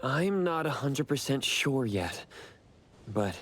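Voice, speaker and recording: A mild, furry investigator in an anime game, medium in pitch. He explains in a thoughtful, tentative way, with faint noise behind him. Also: animated, slow pace, fairly bright tone. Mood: thoughtful, explaining.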